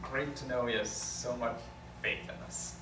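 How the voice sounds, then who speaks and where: A man's voice: medium pitch, steady tone, measured pace, lightly acted. A man reading the part of an earnest, bossy lawyer given to speeches in an amateur script table read. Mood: dry. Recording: distant, echoey, noisy room.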